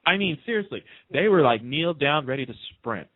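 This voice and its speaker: A man who works as a radio host, chatting casually and telling fan anecdotes in an excited, dramatic way. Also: fairly high pitch, fairly quick, no room sound, phone-quality sound.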